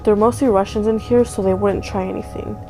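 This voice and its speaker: A woman reading a calm, reassuring adult man's lines in a quiet, matter-of-fact way. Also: high-pitched, brisk, faint music behind, rough recording.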